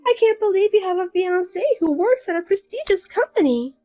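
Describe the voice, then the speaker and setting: A woman's voice: very high-pitched, animated, fairly quick, mellow, pitched above the performer's own voice. A woman voicing a scheming younger sister who taunts and boasts in a sing-song way. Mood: smug.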